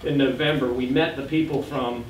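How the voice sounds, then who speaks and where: A man aged sixty giving an informal presentation to a board meeting, speaking haltingly and often correcting himself. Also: medium pitch, steady tone. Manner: halting, frequent self-corrections. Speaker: education board member presenting. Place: indoor meeting room. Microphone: standing back from podium gooseneck microphone. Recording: echoey room, distant mic, faint noise.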